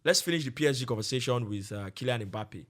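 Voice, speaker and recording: A man's voice: mid-range voice, animated, fast, a bit bright. A young man co-hosting a casual podcast chat, pressing his points with emphatic repetition. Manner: emphatic, repeats points for stress. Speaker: podcast co-host.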